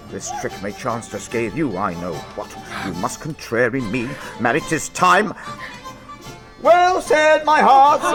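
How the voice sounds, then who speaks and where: Blustering old lord, swooping high voice. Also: male voice, heavily acted, low-quality recording. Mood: scolding, blustering. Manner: expansive, booming, chuckling, quick to scold. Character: jovial, blustering old Italian nobleman hosting a feast. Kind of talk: Shakespearean audio drama acting. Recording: loud music behind the voice.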